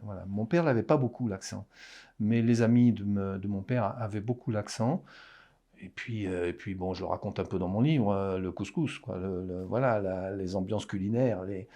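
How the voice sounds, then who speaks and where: A man in his sixties with a Parisian French accent, an author talking about his writing in a hesitant, halting way in a casual interview. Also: lowish voice, mellow. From France. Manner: hesitant, halting, many restarts.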